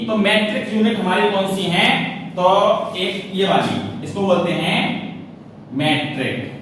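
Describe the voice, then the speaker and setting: A man's voice: high voice, fairly bright tone. A man teaching like a classroom lecturer, asking questions, answering them himself and repeating key points. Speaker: teacher for competitive exam students. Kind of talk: classroom lecture on physics, writing as he explains. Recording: roomy echo, distant mic, faint noise.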